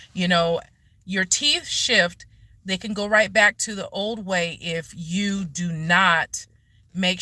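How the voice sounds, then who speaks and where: A woman's voice: mid-range voice, pushed and bright, no room sound. A woman giving a casual, explanatory impromptu livestream chat, speaking directly to viewers on a phone in a parked car. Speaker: vlogger answering questions on a livestream. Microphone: phone, handheld or propped nearby.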